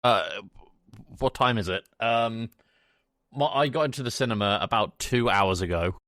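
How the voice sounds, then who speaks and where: A man's voice: on the low side, moderate pace, a bit bright, dry recording, clean studio sound. A man co-hosting a podcast, chatting casually in a blunt manner. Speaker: podcast host.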